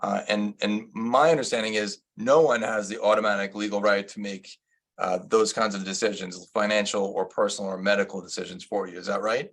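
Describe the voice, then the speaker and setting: Gravelly, husky-voiced male attorney. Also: fairly low pitch, steady tone, a little fast, a bit bright. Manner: explanatory, asks his colleague guiding questions. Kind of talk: legal webinar presentation.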